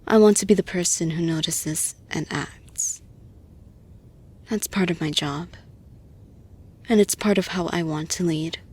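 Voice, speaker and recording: Woman playing a caring calm lady boss, audio roleplay, really breathy n husky, slow deliberate reassuring talk. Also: on the low side. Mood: sincere, caring. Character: caring, composed female boss gently offering support. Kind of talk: intimate roleplay, soft-spoken and measured.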